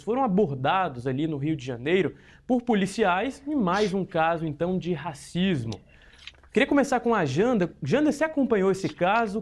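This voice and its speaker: A man hosting a studio talk show, upbeat and friendly, putting questions to his guests. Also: sits high. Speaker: news show host.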